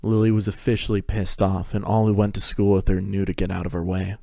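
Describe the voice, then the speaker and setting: A man's voice: sits low, little pitch movement, fast talker, creaky, mellow, dry recording, clean studio sound. A man narrating a fan fiction story as a scripted dramatic reading.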